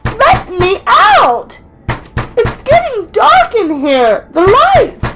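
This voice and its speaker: A woman's voice: extremely high pitch, huge pitch swings, extremely slow, heavy background noise, heavily acted. A woman playing a timid, polite young newcomer in a radio suspense drama, meek, hesitant and deferential. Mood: panicked, pleading. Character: timid, polite, apologetic young woman starting a new job. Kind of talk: old-time radio suspense drama acting.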